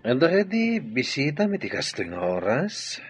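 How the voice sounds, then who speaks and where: An actor playing an evasive, unrepentant cheating husband in a radio drama, husky-voiced. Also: male voice, mid-range voice, wildly swooping pitch, normal speed. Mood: uneasy.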